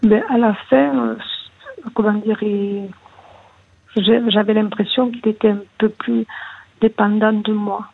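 A woman's voice: mid-range voice, fairly even intonation, unhurried, long pauses. Hesitant woman caller on a phone line. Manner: hesitant, searching for words, many restarts. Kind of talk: personal confession on call-in radio. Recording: husky voice over a phone line.

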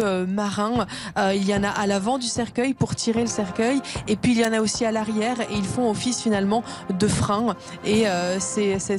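Woman reporter commentating live, band behind. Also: medium pitch. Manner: steady, many hesitant fillers. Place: outdoors at a procession. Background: brass band music.

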